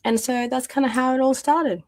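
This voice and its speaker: A woman's voice: high-pitched, steady tone, moderate pace, a little mellow. A woman in her thirties, a guest telling her story in a chatty way with many fillers while losing her voice. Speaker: guest, domestic violence practitioner. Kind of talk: conversational interview storytelling.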